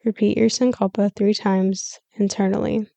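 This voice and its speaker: Calm lady doing guided meditation, mellow soft-edged tone, very dry close sound, soothing and measured. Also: mid-range voice, vocal fry, no room sound. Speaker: yoga nidra meditation guide.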